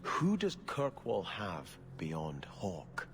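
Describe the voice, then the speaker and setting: Game casting: conflicted pious prince, low voice, solemn formal delivery, faint ambience behind. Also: male voice, huge pitch swings, drawn-out words, a bit bright, slight background noise, exaggerated voice. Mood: earnest, reflective. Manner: solemn, earnest, formal phrasing. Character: earnest, pious, conflicted young exiled prince. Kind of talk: dramatic video game dialogue.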